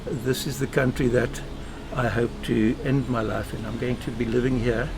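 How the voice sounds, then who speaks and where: A man's voice: mid-range voice, flat delivery, vocal fry. Earnest South African man, noisy room. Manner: measured, emphatic, speaks in short firm statements. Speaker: podcast guest. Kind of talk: earnest interview answers. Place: home.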